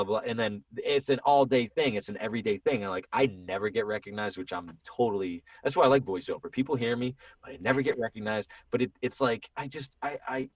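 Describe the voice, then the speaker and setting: A man's voice: on the low side, dry recording, distant mic. A man in his thirties with a Boston accent, a guest voice actor in a casual podcast chat who tells his stories with animation. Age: 30s. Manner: animated storytelling, quick voice switches.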